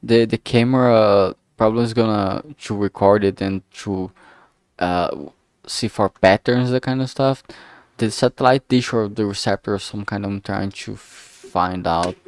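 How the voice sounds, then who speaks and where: A man's voice: fairly low pitch, fairly even intonation, measured pace, smooth, no echo, studio quality. A man chatting casually on a livestream, thinking aloud in a hesitant, musing way and often trailing off mid-thought. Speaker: streamer making a visual novel.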